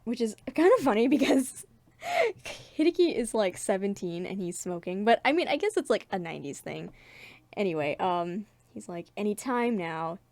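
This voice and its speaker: A woman giving a casual dramatic reading with chatty commentary, animated and giggly as the comic's creator. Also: mid-range voice, moderate pace, no room sound. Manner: giggly, animated, self-amused. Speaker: webcomic creator reading their own comic.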